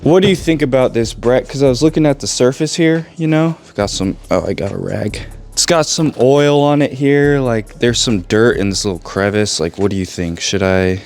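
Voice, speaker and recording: Young male car vlogger, slightly sick and hoarse, loose joking delivery, handheld camera. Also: mid-range voice, moderate pace, a little mellow, faint music behind. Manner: loose and joking, addresses viewers as guys. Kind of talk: casual vlog chat. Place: home bedroom, then cold outdoor garage.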